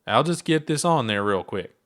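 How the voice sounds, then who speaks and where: A man's voice: mid-range voice, lively intonation, normal speed, a bit bright, lightly acted. Man voicing a plucky, folksy alien space explorer. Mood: focused.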